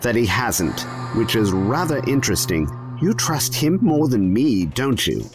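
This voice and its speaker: A man playing a weary, secretive time traveller in an audio drama, measured, evasive and wry. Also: medium pitch, very animated, music in the background.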